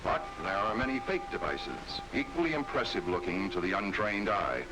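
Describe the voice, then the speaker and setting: A man's voice: fairly low pitch, sing-song, moderate pace, over loud music, poor audio. An American man reading scripted 1960s documentary narration with an emphatic, authoritative delivery on an old film soundtrack.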